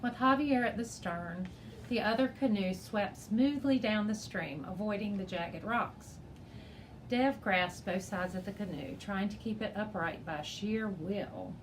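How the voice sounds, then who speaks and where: Female teacher for an expressive children's chapter-book read, medium pitch, some noise behind. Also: a little slow, low-quality recording. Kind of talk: expressive storybook read-aloud.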